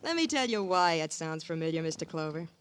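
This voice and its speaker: An actress playing a scornful, hard-boiled murderous wife, taunting and sardonic, in 1950s radio crime drama. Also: female voice, low voice, sing-song, a little fast, smooth. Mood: mocking.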